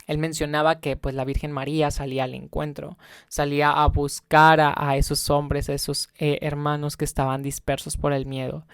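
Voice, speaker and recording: A man's voice: a little high, flat delivery, normal speed, gravelly, smooth, no echo, studio quality. A young man telling a casual travel vlog story, rambling with many fillers and self-corrections. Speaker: travel vlogger.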